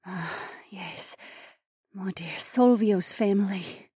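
A man voicing a frail, ancient female dragon, a dying and tender mother, in a weak, breathy, murmuring voice for an audiobook. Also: high-pitched, steady tone, measured pace, warm tone, dry recording, studio quality, pitched above the performer's own voice. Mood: tender, fading. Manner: weary, murmuring.

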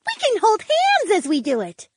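Man acting as feline young woman, playful, girlish, squeaky high, wildly animated, audiobook dialogue. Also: normal speed, no room sound, clean studio sound, exaggerated voice. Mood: excited, playful. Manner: gushing, girlish, squealing exclamations.

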